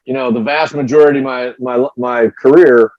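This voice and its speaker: A man's voice: medium pitch, fairly even intonation, a little fast, no room sound, phone-quality sound. A man, a former pro wrestler, telling road stories in a casual interview, often repeating his first words. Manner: storytelling, repeats words when starting.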